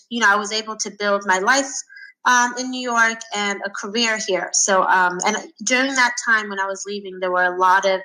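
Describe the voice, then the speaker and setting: Woman, thirties, from Sweden, bright tone, thoughtful, many ums, podcast guest. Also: mid-range voice, poor audio. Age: 30s. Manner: thoughtful, frequent um fillers. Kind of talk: casual interview answers.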